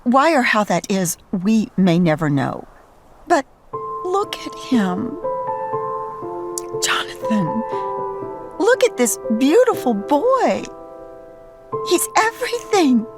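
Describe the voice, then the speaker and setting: High-voiced, tender, doting mother. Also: very animated, slow pace, long pauses, over music, full character voice. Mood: adoring, playful. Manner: tender, cooing, earnest. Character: doting, gently firm young adoptive mother. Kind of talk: audio drama dialogue.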